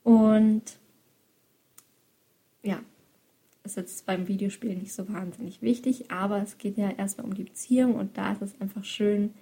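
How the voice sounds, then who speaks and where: A woman's voice: medium pitch, fairly even intonation, measured pace, pauses often, mellow, husky. A woman who works as a youth educator, reflecting on her work in a loose, thoughtful monologue with frequent hesitations. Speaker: youth educator and book author.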